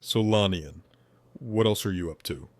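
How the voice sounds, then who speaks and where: A man gives voice to a wise angelic being from a higher dimension, a male spirit who talks in a measured, formal way. His voice sits very low. He asks his questions with gentle curiosity. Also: loud music behind the voice. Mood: calm, friendly. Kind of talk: scripted dialogue.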